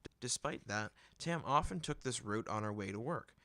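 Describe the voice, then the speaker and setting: Animated man narrating fiction. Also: medium pitch, moderate pace, no room sound. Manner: expressive storytelling. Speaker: audiobook reader. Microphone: close microphone, dry room.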